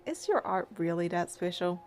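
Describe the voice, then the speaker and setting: A young heroine in a story game, practical and skeptical. Her voice is dark and mellow with a dry, matter-of-fact delivery, over faint music. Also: lowish voice, very animated, normal speed. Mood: measured, curious. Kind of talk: English-dubbed game acting with first-person narration.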